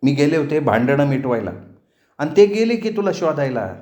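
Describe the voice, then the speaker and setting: A man voicing a fearless, sharp-tongued young village girl with an indignant, assertive manner in a story. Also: a little high, very animated, normal speed, roomy sound. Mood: defiant, matter-of-fact.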